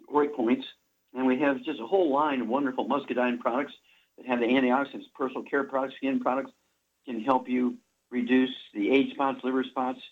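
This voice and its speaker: A man in his seventies hosting a radio show, rambling through a supplement pitch and correcting himself as he goes. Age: 70s. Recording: muffled radio broadcast.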